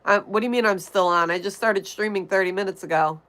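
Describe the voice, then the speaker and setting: A woman's voice: mid-range voice, quick pace, a bit bright. A woman hosting a casual livestream, reading viewer comments aloud and chatting back to them. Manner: chatty, answers comments directly. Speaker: livestream host. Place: home in Connecticut.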